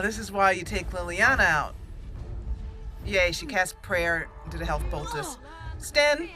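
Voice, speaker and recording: Animated, bright-voiced woman gaming. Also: fairly high pitch. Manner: expressive, talks to the game characters. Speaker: gaming channel host doing a let's play. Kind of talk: casual roleplay game commentary.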